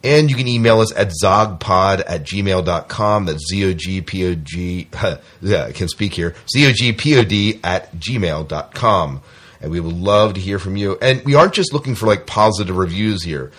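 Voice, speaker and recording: Low-voiced man hosting a casual podcast. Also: lively intonation, normal speed, a bit bright. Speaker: podcast host.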